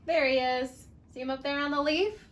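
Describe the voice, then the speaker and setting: A woman reading a storybook aloud to young children in an expressive, singsong way, pausing for little asides. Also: high-pitched, slight background noise.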